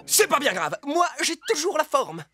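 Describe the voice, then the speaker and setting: A theatrical cartoon fox, fretting about age with indignant self-pity. The pitch swoops up and down over prominent music. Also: big pitch swings, normal speed. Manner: dramatic, indignant, self-pitying. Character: Washington, cartoon fox fretting dramatically about growing old. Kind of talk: French animated children's cartoon dialogue.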